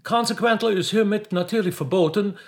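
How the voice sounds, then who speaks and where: A man voicing a pompous, bumbling British ambassador in a satirical radio play, speaking halting German with an English accent and mixing in English words. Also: sits high, voice pushed up. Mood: solemn, halting. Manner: halting, mangled grammar, English words mixed in.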